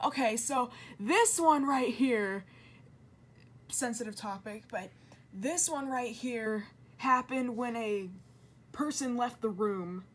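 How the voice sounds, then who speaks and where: A woman with a fairly high voice talks through an informal explainer. She sounds earnest and conversational, correcting herself as she goes. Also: slow. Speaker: video creator and commentator.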